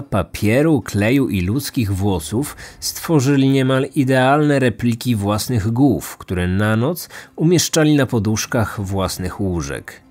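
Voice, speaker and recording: A man's voice: mid-range voice, a little mellow. A man narrating a scripted true crime podcast as its host.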